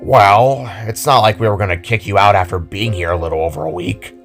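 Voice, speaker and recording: A man's voice: lowish voice, brisk, a bit bright, close to an everyday voice. Man playing an easygoing, kindly guardian, music behind. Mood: warm, reassuring.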